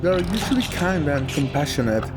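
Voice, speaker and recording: A man with a slightly high voice narrates a scripted art video as its host. He slips joking asides into the script, and music plays behind him. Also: very animated, a little fast.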